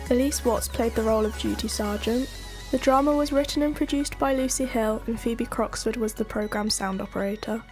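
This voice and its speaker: A woman delivers a scripted radio announcement of closing credits. Her voice is a little high, over faint music. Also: a little mellow.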